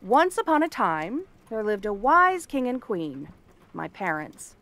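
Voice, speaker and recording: A woman playing a cranky, cursed frog-girl princess in an ASMR fantasy roleplay, haughty, sardonic and theatrical with a soft spot underneath. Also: medium pitch, big pitch swings, unhurried. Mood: wry storytelling.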